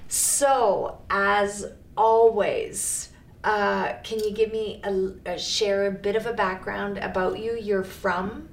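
A woman hosting a casual interview, chatty and halting, often restarting her questions. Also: mid-range voice, flat delivery, recorded from a distance. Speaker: podcast host. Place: studio. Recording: roomy, off-mic, faint noise.

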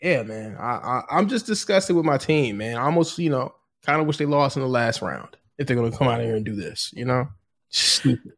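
Laid-back man chatting sports, medium pitch. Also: expressive, gravelly, a bit bright. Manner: laid-back, halting, peppers talk with "man" and "you know". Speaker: sports and culture podcast co-host. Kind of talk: casual sports chat.

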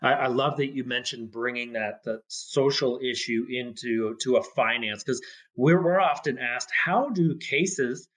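A man's voice: medium pitch, measured pace, fairly bright tone. A man with a mid-range voice hosts a podcast interview. He talks conversationally and effusively, in long wordy runs that pile several questions together. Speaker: podcast host.

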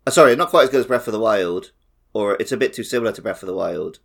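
A man co-hosting a gaming podcast, giving his opinions in an animated, casual way. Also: on the low side, quick pace, gravelly. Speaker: podcast co-host. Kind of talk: casual opinionated game chat.

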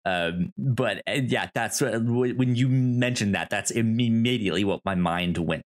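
A man co-hosting a podcast in casual chat, with a halting, stammering delivery. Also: on the low side. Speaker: podcast co-host.

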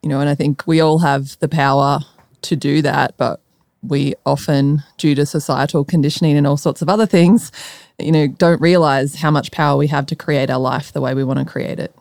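A woman with an Australian accent, talking informally as a podcast guest and quick to laugh. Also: sits low, steady tone, gravelly, darkish tone, no echo, studio quality. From Melbourne, Australia. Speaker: podcast guest, startup founder. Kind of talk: conversational interview.

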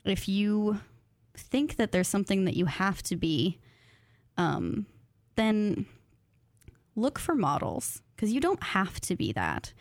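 A woman's voice: medium pitch, big pitch swings, slow pace, no room sound, clean studio sound. A woman in her thirties talking casually and reflectively as an interview guest, earnest, enthusiastic and informal. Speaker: podcast guest, creative nonfiction graduate student and writer.

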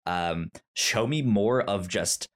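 Low-voiced man stumbling through restarts. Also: slow pace. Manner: stammers and restarts words often. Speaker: podcast co-host. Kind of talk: casual chat.

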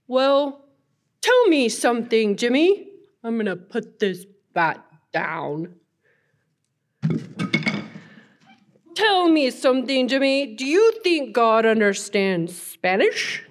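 A woman's voice: fairly high pitch, very animated, slow pace. A woman voicing a friendly boy puppet who patiently questions and advises a pal in a children's church show. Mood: friendly, concerned.

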